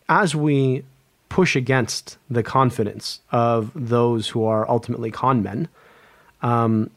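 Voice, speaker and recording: A man's voice: mid-range voice, no echo. A man, an epidemiologist guest, speaking earnestly in an interview, often restarting and repeating words. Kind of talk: conversational interview.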